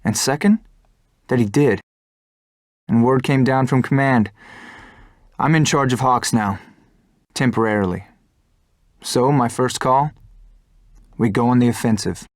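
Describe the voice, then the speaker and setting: An actor playing an earnest, brooding young fighter pilot in a video game, with reflective, measured delivery. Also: male voice, medium pitch, no room sound, clean studio sound, lightly acted. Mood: determined. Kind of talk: scripted cutscene narration and dialogue.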